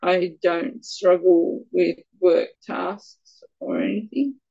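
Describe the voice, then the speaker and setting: A woman speaks at a very unhurried pace, her voice quiet and gravelly. She halts and restarts now and then as she answers. The sound is dull and lo-fi. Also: fairly low pitch, fairly even intonation. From Australia. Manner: halting, stumbles a little over words. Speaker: guest with Parkinson's. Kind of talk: casual interview answers.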